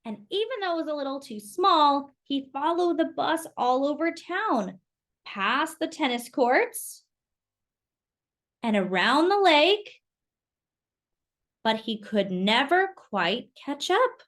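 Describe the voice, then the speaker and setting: A woman reading a picture book aloud to young children in an expressive, exclamatory way, with chatty asides and questions. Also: sits high, slow pace. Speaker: children's storytime reader.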